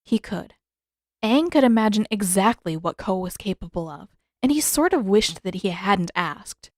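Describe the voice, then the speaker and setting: A woman's voice: mid-range voice, lively intonation, moderate pace, no echo. Woman narrating a story, dry recording. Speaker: narrator, fan fiction author.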